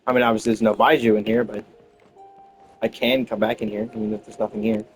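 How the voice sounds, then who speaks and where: A man's voice: lowish voice, flat delivery, fast talker, soft background music. A man giving casual gameplay commentary, rambling and thinking aloud as he goes. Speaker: gamer commenting on own playthrough.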